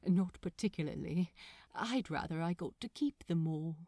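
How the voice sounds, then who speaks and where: A woman's voice: on the low side, expressive, normal speed, mellow, dry recording, studio quality, voice pushed down. A woman voicing a shy, polite, fussy bookseller man in a fan fiction audiobook, prim and easily flustered.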